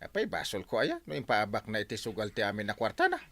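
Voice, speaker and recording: Excitable, wildly swooping neighbor, Ilocano accent. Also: male voice, lowish voice, quick pace, exaggerated voice. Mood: aggrieved. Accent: Ilocano Filipino. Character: excitable, exasperated male neighbor refusing to lend money. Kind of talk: radio comedy-drama acting.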